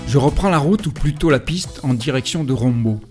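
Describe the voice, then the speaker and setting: A man calmly telling the story of his cycling trip in the first person, with a reflective, storytelling way of speaking. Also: mid-range voice, brisk, over music. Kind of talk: first-person travel narration. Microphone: voice-over recorded separately.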